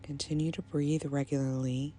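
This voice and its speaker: A woman guiding a meditation in a soothing, measured manner, pausing between short phrases. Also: deep voice, flat delivery. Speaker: meditation guide. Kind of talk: guided meditation, slow and soothing. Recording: soft binaural music bed. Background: ambient binaural meditation music.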